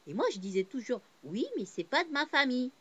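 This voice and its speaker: A woman's voice: high voice, fairly quick, slightly rough. A woman born in Portugal to a Cape Verdean family, telling a personal story in a casual, rambling way with many fillers and restarts. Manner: heartfelt, swings between subdued and animated.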